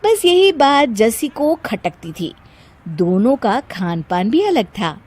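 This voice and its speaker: Very high, lively woman storyteller. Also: slow. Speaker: narrator. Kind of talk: scripted animated story narration.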